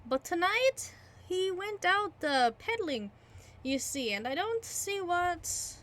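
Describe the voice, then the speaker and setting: Woman doing a jolly old british innkeeper, teasing, high voice. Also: big pitch swings, slow, a bit bright, exaggerated voice. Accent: put-on British. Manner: jovial, teasing. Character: chuckling older male innkeeper, sly teasing.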